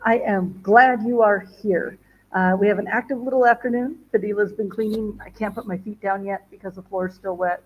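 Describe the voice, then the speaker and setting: Casual woman host, chatty and rambling, mid pitch, dull lo-fi sound. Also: fairly even intonation, fairly quick. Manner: rambling, many fillers and restarts. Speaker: travel vlogger. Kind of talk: casual livestream chat. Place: home in northern Ghana.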